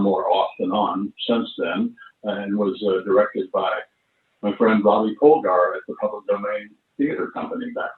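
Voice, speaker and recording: Older guy in his 70s, low even voice, halting, on a thin phone-like line. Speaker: actor and artistic director, panel guest. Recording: roomy, narrow phone-like call audio.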